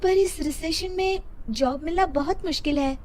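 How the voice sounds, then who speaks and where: A woman voicing a polite young working daughter-in-law who pleads and protests gently in an acted moral story. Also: extremely high pitch, normal speed, background noise, pitched above the performer's own voice. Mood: pleading.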